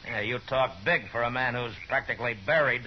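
A man's voice: medium pitch, fairly quick, natural voice. An actor playing a stern, weary Old West lawman in a 1950s radio western, terse, commanding and dry. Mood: hard, commanding. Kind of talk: 1950s radio western acting and first-person narration. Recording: muffled old 1950s radio recording, noisy, music.

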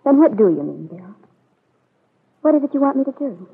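A woman's voice: high-pitched, very animated, moderate pace, vocal fry, breathy edge, full character voice. An actress with an American accent playing a proud, hurt young woman, cool and formal, in an old radio soap opera on a muffled vintage recording. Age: young adult. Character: proud, hurt eighteen-year-old country girl, coolly aloof.

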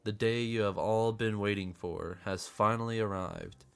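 A man's voice: lowish voice, normal speed, vocal fry, smooth, soft background music. A man who is a little under the weather and snuffly, hosting a casual, joking movie-review podcast and swearing freely. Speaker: podcast host reviewing films.

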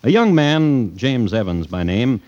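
A man's voice: medium pitch, very animated, measured pace, darkish tone, no room sound. A man narrating a classic radio drama as a wry, sentimental storyteller. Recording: muffled old radio broadcast. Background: music.

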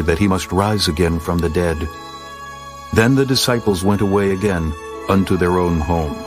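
Male narrator, low voice, solemn steady scripture reading, faint music bed. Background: soft music.

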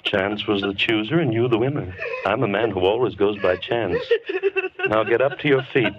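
A man's voice: fairly low pitch, moderate pace, over a noisy crowd, natural voice. An actor playing a deranged, menacing man with a falsely tender, unctuous manner in an old-time radio horror play. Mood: crazed, insistent. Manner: unctuous, mock-soothing, savoring his words. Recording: muffled, noisy old radio broadcast recording.

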